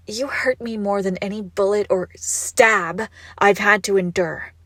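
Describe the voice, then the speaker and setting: A woman performs an icy, obsessive crime boss clinging to a former lover in an intimate audio drama. Her delivery is slow and deliberate, with gravelly fry in the voice and a menace that swings between hushed and unhinged. The sound is dry and close. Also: mid-range voice, no echo, exaggerated voice. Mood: cold, threatening.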